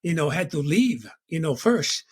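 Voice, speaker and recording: A middle-aged man from Tonga telling his life story as an interview guest, reflective and warm, with a constant "you know.". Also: a little high, very animated, moderate pace, breathy edge.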